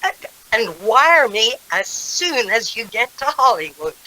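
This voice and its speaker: A woman's voice: on the high side, big pitch swings, a little slow, pushed and bright, full character voice, poor audio. An actress playing an anxious, fussing mother of a grown son in a radio drama, pleading fretfully. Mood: worried, pleading.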